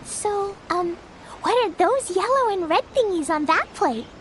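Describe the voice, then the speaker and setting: A woman's voice: extremely high pitch, animated, unhurried. A woman voicing a sweet, wide-eyed little girl in a video game, eager and innocent, with childish wording. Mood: curious, hesitant. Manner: childish wording, gushing wonder, hesitant questions. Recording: loud noise behind the voice.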